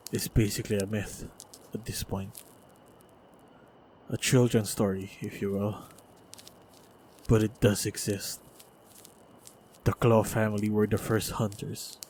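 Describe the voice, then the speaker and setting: A stoic, wounded lone monster hunter, acted by a man in a fantasy audio drama. His voice is low and stays very even, coming out in guarded, halting phrases. Faint noise and ambience sit behind him. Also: close to an everyday voice. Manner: measured, halting, guarded delivery with heavy sighs. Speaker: voice actor in audio roleplay. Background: faint ambient sound effects.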